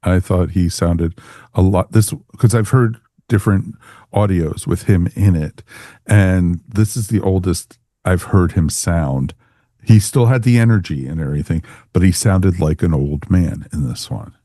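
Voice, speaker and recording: Deep, mellow-voiced male podcast host. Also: measured pace, no room sound, clean studio sound. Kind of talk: casual review discussion.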